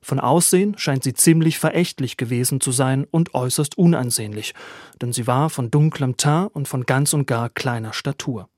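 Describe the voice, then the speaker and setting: An ancient chronicler voiced by a man speaks formally and with detachment. The voice is mid-pitched and recorded very dry, in a documentary quotation style. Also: moderate pace, a little mellow, dry recording, natural voice. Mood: formal, scornful. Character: ancient male chronicler quoting scornful old texts.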